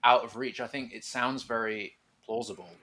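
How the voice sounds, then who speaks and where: A man's voice: mid-range voice, steady tone, normal speed, bright, forceful tone. A man hosting a podcast, chatting casually and enthusiastically like a keen fan who swears freely. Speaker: podcast host.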